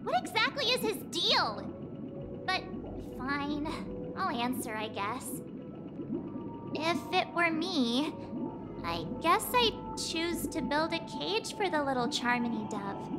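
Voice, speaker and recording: An actress playing a pouty, expressive young woman in a game cutscene, speaking in a huffy, hesitant way. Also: high voice, takes it slowly, pauses often, loud music behind the voice, heavily acted. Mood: miffed, grudging. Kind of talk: video game cutscene acting.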